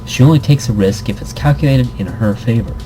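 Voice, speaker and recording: A man's voice: medium pitch, fairly quick. A man giving casual commentary and reading game text aloud, rambling and interrupting himself, with playful asides. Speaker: let's player reading a visual novel aloud. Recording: background noise behind the voice.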